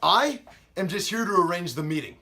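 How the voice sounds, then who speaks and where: A man's voice: high-pitched, expressive, normal speed, bright, forceful tone, pitched above the performer's own voice, heavily acted. A man performing a dramatic monologue as a menacing, gloating killer with an American accent, taunting and mock-polite. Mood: taunting, threatening. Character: menacing, gloating male killer toying with his victim.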